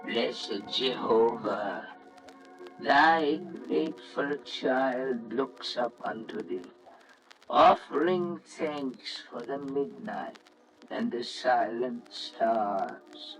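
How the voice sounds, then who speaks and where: A man voicing a gentle, devout old shepherd uncle, solemn and reverent, in a radio storybook style. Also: mid-range voice, very slow, soft background music, rough recording. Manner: solemn, reverent, archaic delivery.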